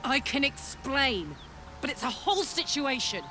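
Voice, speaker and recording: A woman's voice: sits high, sing-song, measured pace, pushed and bright, soft background music, exaggerated voice. An actress with an English accent playing a brash, blunt but friendly warrior woman in a fantasy game, earthy and hearty. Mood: pleading, earnest. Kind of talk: video game character acting.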